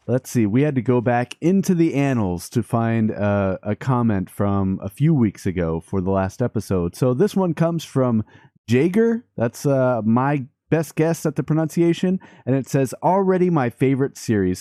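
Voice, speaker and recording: A man's voice: mid-range voice, dry recording, studio quality. A man giving casual, playful Let's Play commentary as the host of a gaming video. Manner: playful, excitable.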